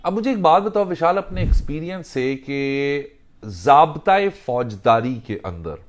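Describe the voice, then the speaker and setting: A man explaining legal rules in a deliberate way, mixing in English legal phrases. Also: fairly high pitch, lively intonation. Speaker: legal instructor.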